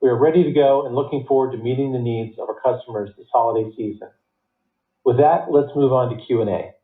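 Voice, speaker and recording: A man reading a scripted earnings report as a company finance executive, in a formal, measured way. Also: medium pitch. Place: conference call line. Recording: tinny phone line, roomy echo.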